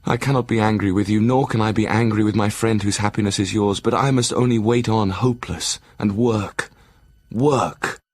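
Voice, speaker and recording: A man playing a brooding Victorian asylum doctor, narrating his journal in a measured, formal way for an audio drama. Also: on the low side, fairly even intonation, natural voice. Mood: melancholy. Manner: measured, formal, literary delivery.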